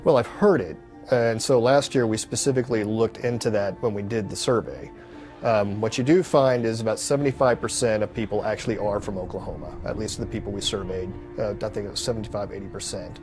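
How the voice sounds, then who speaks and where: A man in an office gives a low, matter-of-fact explanation of survey numbers. A music bed plays clearly underneath. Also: a little fast, darkish tone. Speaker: city planner. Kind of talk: interview answers.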